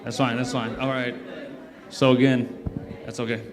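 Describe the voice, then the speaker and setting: A man's voice: medium pitch, level tone, normal speed, in a large hall, crowd noise. A man leading a church service, speaking casually and encouragingly to the congregation and repeating himself often. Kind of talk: addressing a congregation.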